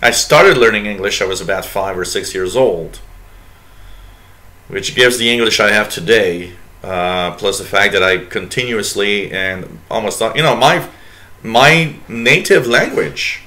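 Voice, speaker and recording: A man's voice: lowish voice, a little slow, pushed and bright. A man in his forties whose first language is French, speaking English with a Quebec French accent as he hosts a live radio stream, chatting loosely and reading out messages from viewers. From Quebec, Canada. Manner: rambling, reads chat messages aloud, many fillers. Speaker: radio show host. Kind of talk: casual live-stream chat.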